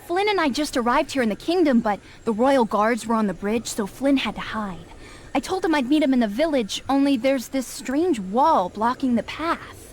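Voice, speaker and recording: A woman voicing a friendly, chatty and spirited young princess in an animated game. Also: high voice, normal speed. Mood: friendly, concerned.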